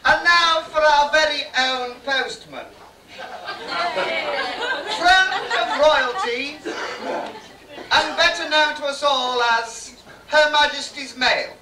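High-voiced woman compere, distant and echoey. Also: slow, long pauses, a crowd in the background. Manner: theatrical, teasing. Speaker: master of ceremonies. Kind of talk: comic pantomime introductions to live audience. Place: small dim stage in a theatre bar. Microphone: far camera. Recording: distant echoey noisy bar stage recording.

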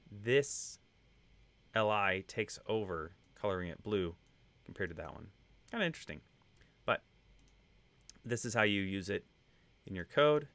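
A man in his thirties with a light Texan accent talks through code in a relaxed, unhurried way, full of little fillers. His voice sits low. The sound is close and dry, with no room around it. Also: dry recording. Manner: relaxed, conversational explaining with fillers. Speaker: web testing instructor. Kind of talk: screen-share coding tutorial.

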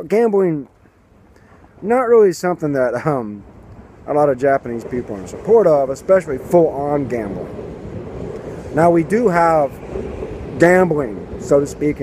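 A man's voice: fairly high pitch, mellow, slight background noise. Sarcastic man ranting outdoors. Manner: sarcastic, mocking, puts on silly voices for quotes. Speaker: vlogger commenting on politics. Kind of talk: casual political commentary to camera. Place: outdoors by a garden and building. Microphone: camera at arm's length.

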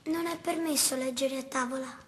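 A woman's voice: sits high, fairly even intonation, moderate pace, natural voice. A woman voicing an anxious, contrary little boy in a dubbed film drama, doubtful and protesting. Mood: doubtful, sulky. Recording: background noise with clicks.

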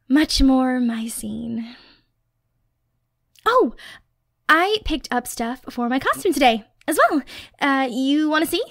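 High-pitched, dorky, playful young girlfriend, acted by a woman. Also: no room sound, clean studio sound. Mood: teasing, chatty. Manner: chatty, teasing, nerdy rambling. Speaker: roleplay voice actor. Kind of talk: ASMR girlfriend roleplay, casual one-sided chat.

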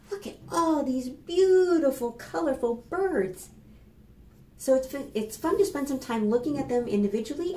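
A woman in her fifties reads a picture book aloud to preschoolers, expressive and instructive, addressing the children directly. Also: medium pitch. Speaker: children's storytime librarian. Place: small plain room indoors. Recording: roomy echo, faint background noise.

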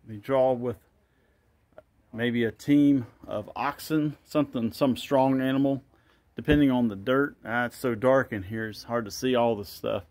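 A man's voice: mid-range voice, unhurried, darkish tone, no echo. A man giving a casual walking tour of an old wooden barn, thinking aloud and unsure of what he sees, recorded on a handheld phone. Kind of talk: casual walk-and-talk narration. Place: open-sided wooden barn outdoors. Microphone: handheld phone camera.

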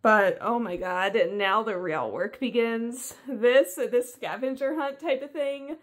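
A woman's voice: on the high side, slow. A young woman vlogging about jigsaw puzzles in a chatty, upbeat way, laughing often, still a little sniffly from a cold. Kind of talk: casual chat to camera. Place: indoor studio with shelves.

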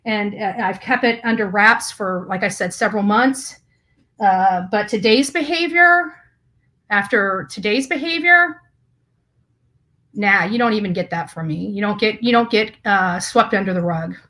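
A woman in her forties giving a halting, indignant personal vlog rant, repeating words for emphasis, recorded indoors in a small room. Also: mid-range voice, pushed and bright. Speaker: YouTuber. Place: small hotel or bedroom, indoors.

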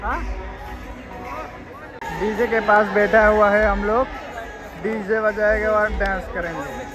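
A man's voice: high voice, steady tone, slow, muffled recording. A man casually vlogging on a handheld phone, pointing things out to viewers at a packed cricket stadium at night. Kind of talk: casual vlog narration. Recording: loud crowd noise behind. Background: loud stadium crowd.

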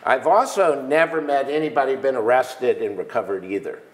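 A man's voice: medium pitch, normal speed, roomy sound. A man in his sixties, a panel guest at a conference, talking emphatically with many restarts on a lapel mic. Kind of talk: conference keynote conversation. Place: stage in a large room, seated in armchair.

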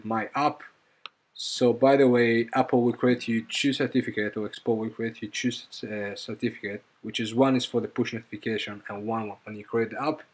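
A man with a medium-pitched voice presents an informal coding walkthrough. His delivery is hesitant and halting, as he explains steps while working through them. He often repeats a phrase before finishing it. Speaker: software tutorial presenter. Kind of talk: informal screen-recorded coding tutorial.

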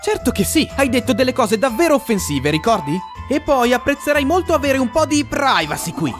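A man's voice: extremely high pitch, sing-song, fairly quick, over music. A man voicing a fussy, grumpy, nerdy tiger roommate with a precise manner, in a cartoon comedy dub. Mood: irritated.

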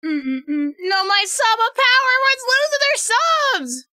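A young woman live-streaming a game from home, excitable and quick to laugh and shout, and losing her voice. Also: very high-pitched, no room sound, studio quality. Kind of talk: casual live-stream chat while gaming.

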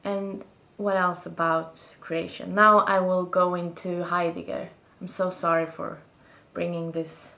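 A young Swedish woman talks slowly and thoughtfully to the camera from a small room, her English carrying a clear Swedish accent. She often pauses to find the right word. Her voice sits a little low. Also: flat delivery, pushed and bright, echoey room. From Sweden. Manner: thoughtful, searching for words, gestures while talking. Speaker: vlogger. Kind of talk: reflective casual monologue to camera. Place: small room indoors, seated on a bed.

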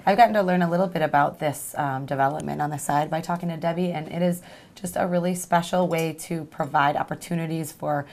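Creaky, low-pitched young woman. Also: fairly even intonation, a little fast, darkish tone. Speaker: talk-show co-host. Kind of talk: conversational TV chat. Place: small TV talk-show set indoors.

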